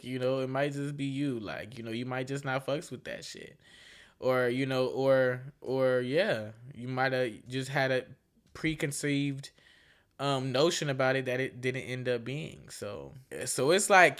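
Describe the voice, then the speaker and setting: A woman's voice: sits low, a bit bright. A woman in her twenties hosting a solo podcast, talking casually in a frank, profane, slangy way that rambles with restarts and keeps checking in with the listener. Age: 20s. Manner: slangy, frank and profane, with frequent "you feel me" asides. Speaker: podcast host.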